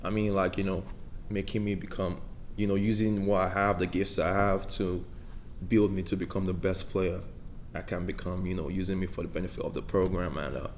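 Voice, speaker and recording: A young man with a Nigerian accent answering press conference questions in a soft-spoken way, filling pauses with "you know". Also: deep voice, level tone, slight background noise. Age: young adult. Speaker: college football player.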